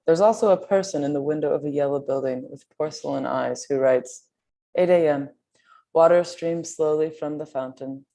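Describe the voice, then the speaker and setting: A woman's voice: deep voice, moderate pace, vocal fry, warm tone. A woman reading translated poetry aloud at a literary reading.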